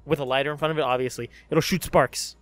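A man's voice: medium pitch, fairly even intonation, quick pace, over music. A young man hosting a casual podcast chat, joking and using slang in a small room. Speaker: podcast host. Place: small wood-panelled room, indoors.

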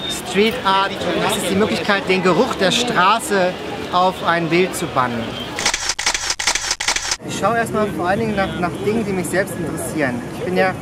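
A man's voice: high-pitched, moderate pace, a loud crowd, very noisy. A man in his forties from Hamburg, a street photographer, talking casually outdoors on a busy street and often repeating words as he searches for them. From Hamburg, Germany. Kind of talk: casual interview. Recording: music playing underneath.

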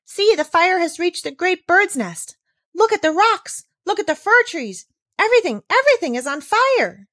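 A woman voicing an eager, warm-hearted little girl with bright, breathless exclaiming. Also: very high-pitched, very animated, moderate pace, dry recording, pitched above the performer's own voice, full character voice. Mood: awestruck.

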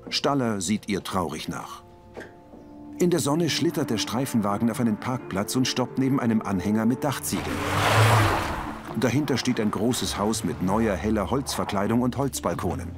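Male audio describer, scripted scene narration, low register, music underneath. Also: expressive, normal speed.

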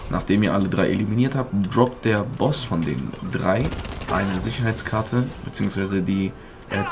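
A man with a fairly low voice commentates a game walkthrough. He explains step by step and addresses his viewers directly, with faint noise behind him. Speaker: gaming video creator.

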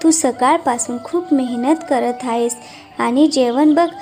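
A woman's voice: high-pitched, a little slow, a little mellow, soft background music. A woman voicing a caring, worried village wife and mother in a cartoon, with tender concern. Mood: tender, sad. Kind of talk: cartoon moral-story dialogue.

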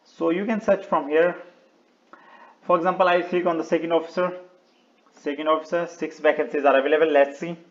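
A man presenting an unscripted step-by-step website walkthrough in short, halting instructional phrases, often repeating words. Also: a little high, steady tone, dull audio. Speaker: video tutorial presenter.

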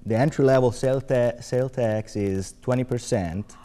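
A man's voice: mid-range voice, a crowd in the background. A man in his forties with an Italian accent, a guest chatting on a TV studio set, chuckling often. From Italy. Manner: chuckles often, measured and friendly. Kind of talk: casual studio chat. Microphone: lapel.

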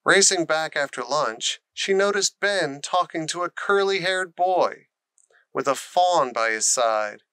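A man's voice: fairly high pitch, animated, unhurried, pushed and bright, clear, resonant tone, dry recording. A man reading a children's story aloud as scripted narration. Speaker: storybook reader.

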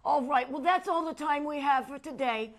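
A woman's voice: sits high, moderate pace, natural voice. A woman playing a sentimental, effusive middle-aged talk show hostess with a New York accent. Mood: gushing. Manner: effusive, melodramatic, Yiddish-sprinkled. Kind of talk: parody talk show hosting.

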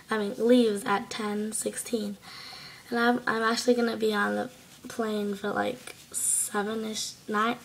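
A teenage girl talks into her laptop webcam from a small bedroom, her voice hoarse from a cold. Her delivery is gravelly with a narrow, even pitch, and she rambles along casually with lots of ums. A faint hiss sits under the recording. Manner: chatty, rambling, many fillers. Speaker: exchange student vlogger. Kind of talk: casual video diary. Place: small bedroom indoors at night. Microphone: laptop webcam at close range.

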